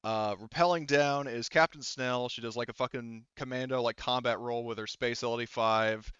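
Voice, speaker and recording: A man with a smooth, clean voice narrates a tabletop game as its game master. He rambles casually and swears jokingly, on a dry, slightly muffled recording. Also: mid-range voice, fairly even intonation, normal speed, a bit bright, dry recording. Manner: rambling, profane, jokey.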